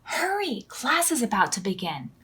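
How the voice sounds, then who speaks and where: A woman's voice: a little high, big pitch swings, moderate pace, heavy background noise. A woman hosting a children's storytime, reading aloud expressively in simple phrases for toddlers. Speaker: children's storytime host at a library.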